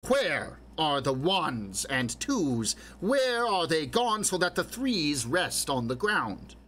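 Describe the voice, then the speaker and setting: A man voicing a stern, suspicious village woman who asks slow, pointed questions, in an audiobook reading. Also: a little high, very animated, a bit bright, slight background noise, pitched above the performer's own voice, full character voice.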